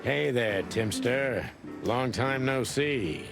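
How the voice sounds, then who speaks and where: An actor playing a tough, weary rooster detective in a noir video game, with dry, sardonic delivery. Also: male voice, medium pitch, animated, slow pace, vocal fry, loud music behind the voice. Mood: wry. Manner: dry, sardonic, hard-boiled.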